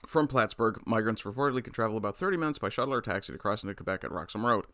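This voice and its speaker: Man, livestream commentator, blunt, swearing, ranting, bright voice, dry sound. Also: lowish voice, lively intonation, rapid-fire, no room sound. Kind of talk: casual political commentary, reading chat.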